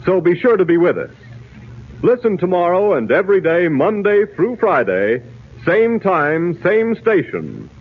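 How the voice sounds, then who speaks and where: A male American announcer delivers emphatic 1940s serial narration. His slightly high, husky voice is theatrical and animated. The sound is narrow and tinny, from an old radio broadcast. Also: a little slow.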